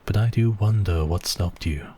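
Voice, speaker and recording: A man acting as a suave, obsessive, mocking vampire count in an intimate ASMR roleplay, with silky, teasing, drawn-out phrasing. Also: fairly low pitch, warm tone, slightly rough. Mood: seductive, musing.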